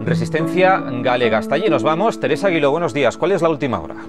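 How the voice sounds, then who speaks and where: A brisk male news anchor, played by an actor, with a fairly high voice and a fast pace, in a radio drama. Loud music sits underneath. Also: animated, lightly acted.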